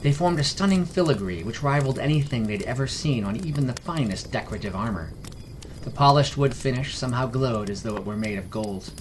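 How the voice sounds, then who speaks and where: A man's voice: mid-range voice, animated, fairly quick, a little hiss or hum. A man reading a scripted fantasy story as its narrator.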